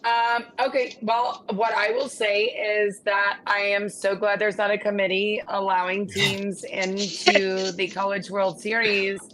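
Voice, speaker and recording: A woman's voice: medium pitch, a bit bright, slight background noise, rough recording. Gushing woman sports co-host. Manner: enthusiastic, gushing fan. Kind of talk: casual sports chat.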